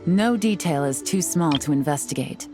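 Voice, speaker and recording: A woman's voice: deep voice, expressive, normal speed, loud music behind the voice. An actress playing a shrewd, composed female investigator in a video game, speaking in an articulate, methodical, confident way. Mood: measured, focused. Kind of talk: scripted game dialogue.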